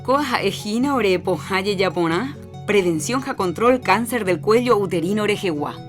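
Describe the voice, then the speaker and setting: A woman's voice: medium pitch, animated, moderate pace. A woman hosting a radio program, presenting scripted health announcements. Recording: music behind the voice.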